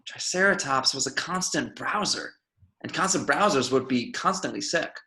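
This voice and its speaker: A man acts out an absorbed, earnest paleontologist from a film script. His voice is middle-pitched, in table-read style. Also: lively intonation, normal speed, vocal fry, pushed and bright. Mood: absorbed, pondering.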